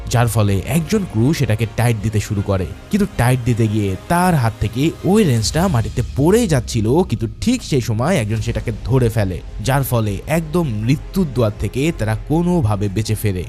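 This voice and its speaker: A man's voice: mid-range voice, mellow. A man narrating a movie recap from a script in a casual, dramatic storytelling way, addressing viewers informally. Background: background music.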